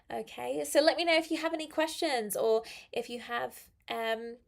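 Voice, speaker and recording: A young woman teaching on a live stream, her voice a little croaky, chatty and friendly, slowing down to stress key phrases. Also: fairly high pitch. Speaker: English teacher. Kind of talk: live-stream English lesson.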